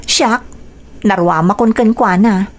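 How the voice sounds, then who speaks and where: A woman's voice: medium pitch, slow pace, lightly acted. A young woman voicing an anxious young mother in a radio drama, speaking with hesitant unease. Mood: uneasy. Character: young mother, anxious about her strict father.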